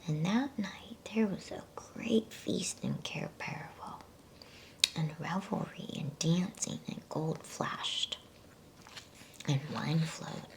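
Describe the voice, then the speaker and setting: A woman reading a bedtime storybook as ASMR in a hushed, unhurried, breathy whisper. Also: low voice, animated, rough recording.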